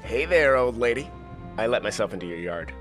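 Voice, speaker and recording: A man's voice: medium pitch, expressive, brisk, over music, lightly acted. An actor playing a guileless, earnest young wannabe businessman in a comedy radio play. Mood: blithely pushy. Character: naive, eager young bearded wannabe businessman.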